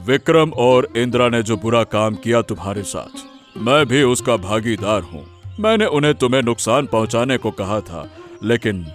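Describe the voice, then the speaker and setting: Dude voicing a cartoon village headman, sorry for what he did, slow formal talk, faint music. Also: medium pitch, very animated, natural voice. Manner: deliberate, formal and addressing a crowd. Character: repentant middle-aged village headman. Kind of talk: Hindi cartoon dubbing.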